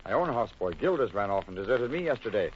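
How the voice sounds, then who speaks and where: An ardent, courtly old flame in vintage radio drama. His low voice swings widely in pitch, on a muffled recording. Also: very animated, fast talker, a little hiss or hum. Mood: intense. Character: ardent former lover, intense and courtly. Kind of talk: 1940s radio drama acting.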